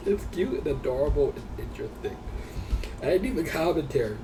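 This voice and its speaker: Male gameplay host, fairly high voice, wildly swooping intonation, gushing casual commentary over music. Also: big pitch swings, fairly quick, low-quality recording.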